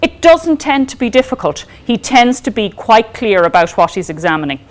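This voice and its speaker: A woman with an Irish accent giving a law lecture to a class, talking loudly and informally, often checking in with "okay?". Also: on the high side, sing-song, normal speed. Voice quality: loud, carrying voice. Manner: chatty, checks in with frequent "okay?". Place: lecture room.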